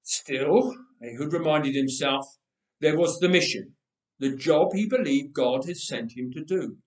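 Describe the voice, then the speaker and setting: A man reading a story aloud in a dramatic, expressive, measured way with deliberate pauses. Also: on the high side, far from the mic. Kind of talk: dramatic scripted story narration. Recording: roomy, echoing indoor sound.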